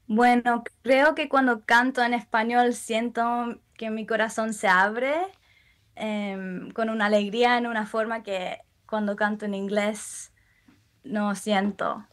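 Young woman, gentle interview guest, unhurried and reflective. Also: a little high, level tone. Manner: soft-spoken, thoughtful, with frequent pauses and fillers. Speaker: musician guest. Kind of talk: relaxed interview answers.

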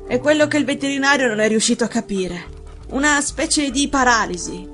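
A burly, distraught husband in a storybook mystery, voiced by a woman narrator. He speaks earnestly and emphatically, imploring in a raised pitch over music. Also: a little fast, a bit bright. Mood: troubled, tender. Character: distraught, burly rugby-playing husband and master.

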